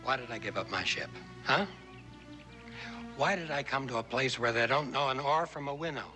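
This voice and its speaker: An actor playing a bitter, stubborn former sea captain, a husband and father, arguing forcefully in an old television western. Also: mid-range voice, normal speed, faint music behind, close to an everyday voice. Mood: angry, bitter. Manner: forceful, argumentative. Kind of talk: 1960s TV western drama acting.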